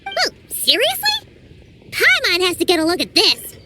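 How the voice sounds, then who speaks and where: A woman voicing a tiny, cheeky female fairy companion in a video game, talking in a squeaky, childlike way and calling herself by name. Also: wildly swooping pitch, moderate pace, pushed and bright, faint music behind, exaggerated voice. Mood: puzzled.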